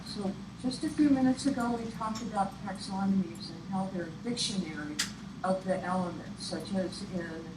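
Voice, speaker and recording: A woman presenter giving an instructional presentation in a deliberate, explanatory way. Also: mid-range voice. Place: echoey room, picked up from afar. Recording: echoey, distant room recording with clear noise. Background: clear room noise.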